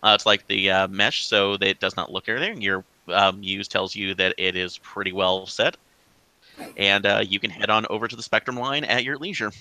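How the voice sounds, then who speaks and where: A man's voice: fairly low pitch, steady tone, fairly quick, pushed and bright, no room sound, low-quality recording. A man acting as game master for an online tabletop game, talking in a loose, rambling way full of fillers. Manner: rambling, filler-heavy, easygoing.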